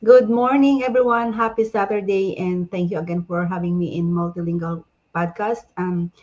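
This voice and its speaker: A woman's voice: on the low side, steady tone, normal speed, darkish tone. A woman from the Philippines introducing herself cheerfully, a little hesitant. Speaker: panelist. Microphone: video call. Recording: dull lo-fi sound.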